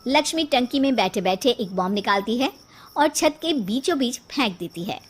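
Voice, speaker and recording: A woman's voice: on the high side, lively intonation, normal speed, slightly rough. A woman reading scripted narration for a story as its narrator.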